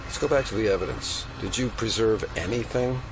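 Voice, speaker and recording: A man's voice: fairly low pitch, a little fast, noisy background, close to an everyday voice. A man playing a wry, suspicious American federal agent in an audio drama, speaking in a dry, understated way.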